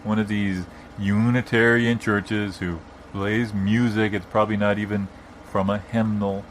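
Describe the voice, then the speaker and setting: A man playing a stubborn, opinionated rambler in improvised comedy, arguing bossily in a halting, repetitive way. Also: fairly low pitch, little pitch movement, close to an everyday voice. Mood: earnest, rambling. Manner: bossy, long-winded, argumentative. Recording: background noise, informal non-studio room.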